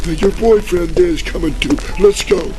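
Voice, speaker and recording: An actor playing a bossy, menacing gangster in a 1940s radio parody, with a threatening, commanding manner. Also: male voice, high-pitched, normal speed, lightly acted. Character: bossy, menacing male gangster bent on world domination. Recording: crackly old-time radio with music.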